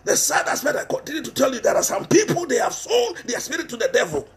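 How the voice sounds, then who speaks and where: A man's voice: very high-pitched, huge pitch swings, fast talker. A middle-aged man with a Ghanaian accent preaching a sermon with passion, repeating phrases for emphasis and switching between English and Twi, recorded on a headset mic in a small room.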